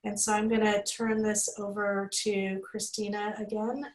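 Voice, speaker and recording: A woman's voice: medium pitch, level tone, measured pace, low-quality recording. A woman moderating an online panel, giving informal closing remarks and thanks.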